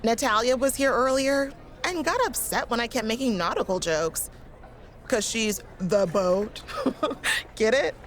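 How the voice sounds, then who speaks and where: A woman's voice: a little high, lively intonation, a little slow. A woman voicing a goofy female wrestler who cracks puns, giggling and playful. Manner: giggly, playful, pun-loving. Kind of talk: video game cutscene dialogue.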